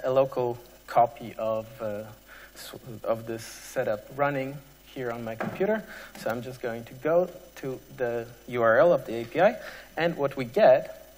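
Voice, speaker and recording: A man giving a technical presentation to an audience as a conference speaker, pausing often mid-sentence. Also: medium pitch. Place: large conference hall. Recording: echoey hall with long reverb.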